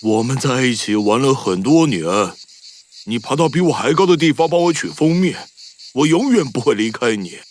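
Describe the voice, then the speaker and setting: A man's voice: medium pitch, lively intonation, moderate pace, close to an everyday voice. An actor playing a loyal, friendly male bear companion, earnest and devoted, in a children's cartoon story.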